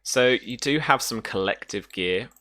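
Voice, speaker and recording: A man's voice: mid-range voice, a little slow, a bit bright. A man acting as game master, explaining and narrating a tabletop roleplaying game, often hesitating as he thinks aloud.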